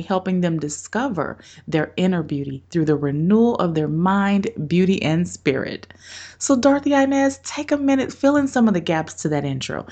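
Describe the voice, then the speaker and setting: A woman's voice: fairly low pitch, darkish tone. A woman hosting an interview show, bubbly and effusive, laughing often and talking over a remote call. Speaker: podcast host. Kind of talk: interview chat.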